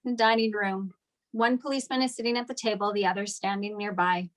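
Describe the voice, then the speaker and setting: A woman's voice: mid-range voice, fairly even intonation, fast. A woman giving scripted narration, reading out stage directions at a table read.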